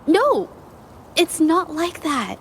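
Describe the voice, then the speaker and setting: A woman's voice: very high voice, very animated, takes it slowly, a little hiss or hum, full character voice. An actress voicing a shy, timid young woman painter in a video game, hesitant and stammering. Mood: flustered. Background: music.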